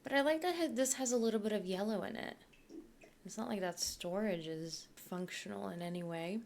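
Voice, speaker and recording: A woman's voice: medium pitch, brisk, creaky, a little mellow, slight background noise. A young woman from Poland, chatting casually as a YouTuber while she builds in a game, rambling and thinking aloud.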